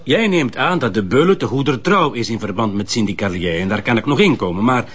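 An actor playing a sceptical, frank police colleague in a crime drama, with a Flemish accent and a pointed, questioning manner. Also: male voice, mid-range voice, expressive, brisk, fairly bright tone, slightly rough, highs cut off. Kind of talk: naturalistic TV crime drama dialogue.

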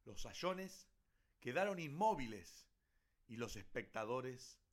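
Man narrating old literary tale for radio theatre, middle pitch, serious dramatic delivery. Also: sing-song, slow pace.

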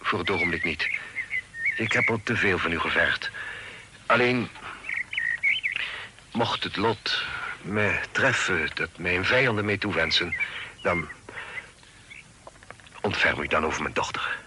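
An actor in a radio play voicing a grave, secretive father with a formal, solemn, measured delivery. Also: low-pitched, animated. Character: grave, secretive father of a grown daughter, hunted. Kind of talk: Dutch radio play acting. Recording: very noisy old radio recording, clicks.

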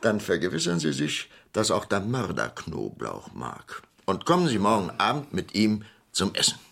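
An actor playing a rich, superstitious shipowner in a radio crime drama, imperious and theatrical. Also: male voice, lowish voice, huge pitch swings, normal speed, vocal fry, a bit bright, heavily acted. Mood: sly, commanding. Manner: pompous, dramatic, swinging between outbursts and muttering.

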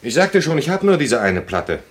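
An actor playing a reserved, awkward middle-aged department head in a naturalistic radio play, halting and dryly formal. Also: male voice, fairly high pitch, very animated. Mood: polite, awkward. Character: reserved, awkward male department head courting a young woman.

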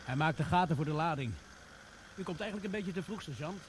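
An actor as a sarcastic army corporal. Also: male voice, on the high side, quick pace, a little hiss or hum, lightly acted. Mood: dry. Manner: dry, mocking, bossy. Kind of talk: radio drama acting.

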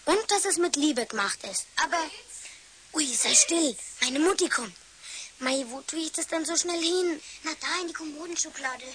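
A woman's voice: high-pitched, lively intonation, normal speed. A woman playing an earnest schoolchild in a children's radio play. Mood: earnest, secretive. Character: earnest schoolchild discussing Christmas presents. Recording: slightly hissy old radio recording.

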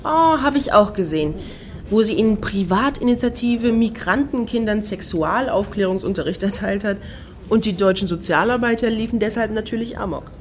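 A woman's voice: mid-range voice, normal speed, slight background noise, natural voice. A woman acting in a satirical radio play as a wry, opinionated friend who comments knowingly. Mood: wry, gossipy.

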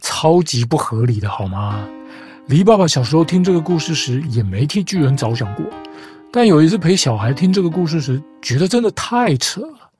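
A man's voice: a little high, sing-song, brisk, darkish tone. A man hosting a podcast, retelling fairy tales with playful, teasing commentary. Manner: playful, teasing, mock-reasonable. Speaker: podcast host. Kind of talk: storytelling commentary. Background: guitar music.

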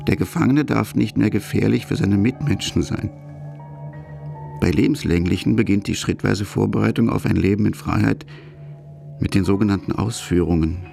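A man narrates a radio documentary from a script. His voice sits low with a gravelly creak, over quiet music.

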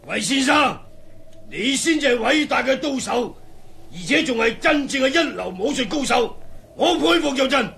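Very high, creaky excitable swordsman. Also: moderate pace, a little hiss or hum, exaggerated voice. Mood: admiring, excited. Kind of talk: radio drama acting.

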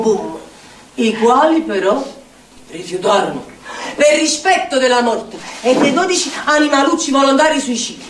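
Eccentric neapolitan storyteller lady, dramatic theatre monologue, very animated pitch, far from the mic in a roomy hall. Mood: wry. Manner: declamatory, dramatic, sing-song repetitions. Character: eccentric, earthy Neapolitan storyteller haunted by the past. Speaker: stage actress. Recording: echoey theatre stage, distant mic, faint noise.

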